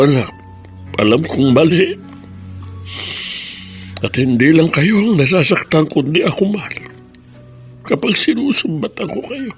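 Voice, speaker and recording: An actor playing a repentant elderly widowed father in a Filipino radio drama, speaking in a halting, emotional, pleading way. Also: a little high. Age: older. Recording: music bed behind the voice.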